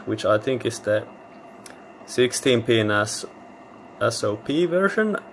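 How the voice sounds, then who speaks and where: Male hobbyist presenter, unhurried and matter-of-fact, halting delivery, mid-range voice, faint noise behind. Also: mid-range voice. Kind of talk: casual technical demonstration. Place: indoor electronics workbench.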